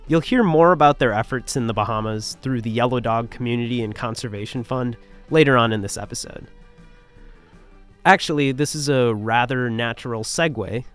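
A man in his twenties, a podcast host, narrating a scripted story in a measured way. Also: medium pitch, clean tone, soft background music. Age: 20s.

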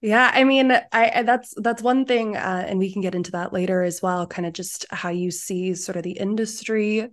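A woman's voice: medium pitch, fairly even intonation, moderate pace, few pauses. A woman hosting an interview, asking loose, rambling questions full of ums and restarts. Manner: rambling, hesitant questions with many fillers. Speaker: podcast host, interviewer. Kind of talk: casual interview conversation.

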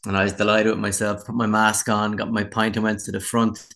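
A man's voice: fairly low pitch, little pitch movement, fairly quick, a bit bright. An Irish man in his forties, a musician chatting casually in an interview with a wry, self-deprecating manner. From Ireland. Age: 40s.

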